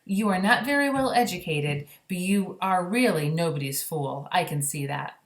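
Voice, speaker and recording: A woman's voice: fairly low pitch, expressive, normal speed. A woman voicing a gracious, curious storybook queen with polite, proper phrasing. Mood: approving. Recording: roomy echo.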